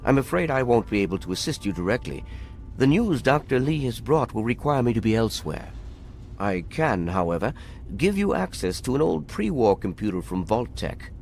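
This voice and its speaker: An actor playing a courteous, scholarly scribe in a video game, formal, precise and guarded. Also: male voice, mid-range voice, sing-song, normal speed, vocal fry, a little mellow, faint music behind. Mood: guarded, helpful. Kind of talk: dramatic video game acting.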